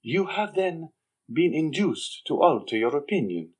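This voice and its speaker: A man voicing a sly, mocking young man with a husky voice, speaking slowly and insinuatingly. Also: fairly high pitch, sing-song, pitched above the performer's own voice, exaggerated voice. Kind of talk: audiobook character reading.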